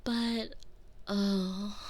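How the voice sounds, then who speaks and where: A woman's voice: mid-range voice, steady tone, darkish tone. A woman playing an obsessive, cheerful female kidnapper in an ASMR roleplay, doting and menacing, talking with syrupy affection and condescension close to a binaural mic. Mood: satisfied, cooing. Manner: saccharine, slow, affectionate, condescending. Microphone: close binaural microphone.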